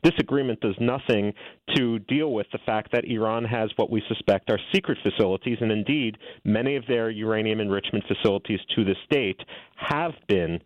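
A man's voice: lowish voice, brisk, no room sound. A middle-aged man being interviewed as an author, arguing his points fluently and assertively. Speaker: guest author and policy analyst. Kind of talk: interview answers, policy argument. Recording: tinny phone-like line.

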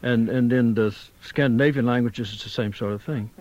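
A male linguistics professor, a guest on talk radio, who explains in a halting, scholarly way with examples. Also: mid-range voice, fairly even intonation, a little mellow. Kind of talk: radio interview. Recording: dull 1990s radio broadcast.

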